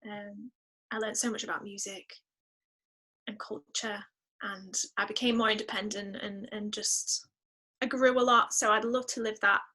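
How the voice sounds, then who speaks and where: A woman's voice: medium pitch, steady tone, moderate pace, sharp tone. A woman musician chatting casually as an interview guest, effusive and emphatic, repeating words for emphasis. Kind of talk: casual podcast interview chat.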